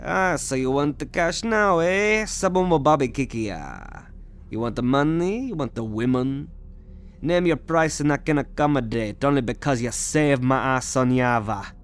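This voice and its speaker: A man voicing a shady green alien collector, gruff, bitter and quick to take offence, in an ASMR roleplay drama. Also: mid-range voice, expressive, close to an everyday voice. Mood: wary, haggling. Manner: gruff, indignant, haggling.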